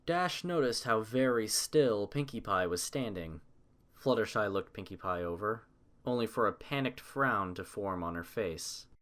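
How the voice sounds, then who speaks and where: A man's voice: fairly low pitch, expressive, a little slow, fairly bright tone. A man narrating a scripted story as its narrator.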